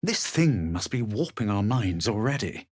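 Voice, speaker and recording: An actor playing a haughty, self-assured fantasy adventurer in a video game, with a superior, dry and dramatic delivery. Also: male voice, fairly low pitch, big pitch swings, moderate pace, a little mellow, husky, dry recording. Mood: dry, disdainful. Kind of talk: scripted video game voice lines.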